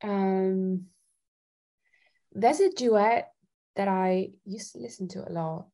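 A woman's voice: mid-range voice, slow, mellow. A woman from Italy in a casual interview as a guest, thinking aloud and hesitating as she answers. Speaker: guest singer-songwriter.

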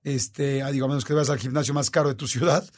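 A man's voice: mid-range voice, fairly even intonation, quick pace. A man with a Mexican Spanish accent, hosting a conversation and talking earnestly and animatedly. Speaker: host. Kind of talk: casual conversation.

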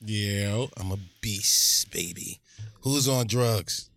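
A man co-hosting a podcast, trading rowdy jokes and insults with a caller, swearing freely and laughing loudly. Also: on the low side, slow, gravelly, no echo, studio quality. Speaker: podcast co-host. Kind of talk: loud joking banter.

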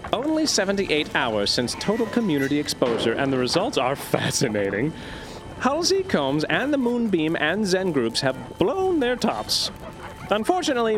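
An actor playing an eccentric, coldly gleeful doctor in an audio drama, with theatrical, clinical, wordy delivery. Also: male voice, a little high, wildly swooping pitch, normal speed, background noise. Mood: gleefully clinical. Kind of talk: audio drama acting, storytelling monologue.

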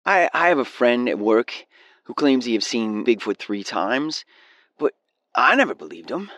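An actor playing an earnest, excitable man phoning a radio show, hesitant and stumbling over his words. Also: medium pitch, normal speed, no room sound. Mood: insistent, awed. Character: earnest, excitable male radio caller, awed believer. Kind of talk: naturalistic audio drama acting.

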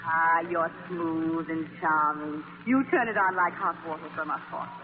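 An actress playing an ambitious, guarded magazine editor in a 1940s radio play, crisp and commanding with a dry, sardonic manner. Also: female voice, low voice, moderate pace, natural voice. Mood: cool, sardonic. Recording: hissy, tinny old radio broadcast, hall reverb.

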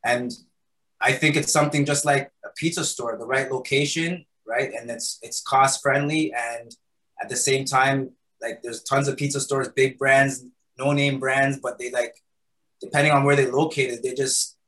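A man's voice: mid-range voice, fairly even intonation, moderate pace, a bit bright, poor audio. Easygoing man chatting. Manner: loose and conversational, many fillers and "right?". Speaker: guest, patty shop founder. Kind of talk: casual interview answers. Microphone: recording studio mic.

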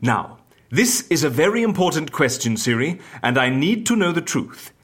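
A man playing an eccentric, theatrical agent in an audio drama, with a husky voice and a flamboyant, animated manner. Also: on the high side, moderate pace, exaggerated voice. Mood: scheming, gentle. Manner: animated, flamboyant, old-fashioned exclamations. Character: eccentric, theatrical male agent who stages fake deaths.

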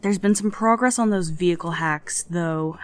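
An actress playing a wary, frustrated hacker collective coordinator in an audio drama, plain-spoken, hesitant and exasperated. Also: female voice, on the low side, moderate pace, lightly acted. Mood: wary, reporting.

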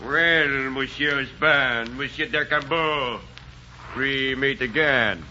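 An actor playing a smug, mock-polite, menacing gang leader in a 1940s radio drama. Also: male voice, medium pitch, slow, loud music behind the voice, close to an everyday voice. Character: menacing, mocking male villain, gang leader in wilderness. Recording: dull noisy 1940s radio recording.

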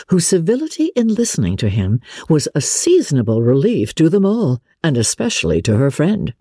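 A woman narrating classic literature as a dramatic reading, expressive, measured and articulate. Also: very deep voice, slightly rough, dry recording, clean studio sound. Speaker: audiobook narrator.

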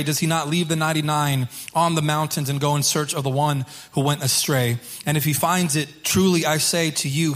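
A man preaching as a pastor to his congregation in an informal, halting way, repeating phrases, with a slightly hoarse voice. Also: a little high, fairly bright tone. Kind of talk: sermon to a congregation. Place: church service.

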